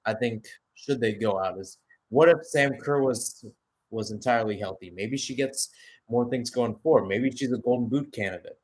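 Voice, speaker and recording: A man's voice: mid-range voice, normal speed, a little mellow, rough recording. A man calling a football match live as a radio-style play-by-play commentator. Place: commentating remotely, not at the stadium.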